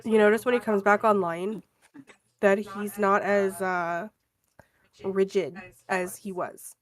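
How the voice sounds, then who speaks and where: A woman joins in a tabletop roleplaying session as a player. She speaks at a medium pitch in casual, informal game chat. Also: fairly even intonation, slow pace.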